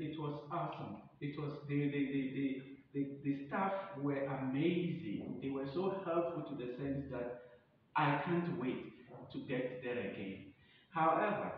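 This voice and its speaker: A man in his thirties playing a chatty, eager receptionist recommending a place in a promotional skit, recorded in a tiled room. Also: lightly acted. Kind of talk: acted promotional dialogue. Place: indoors, tiled front room. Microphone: distant camera or phone across the room. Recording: echoey room, distant dull mic, rumbling noise.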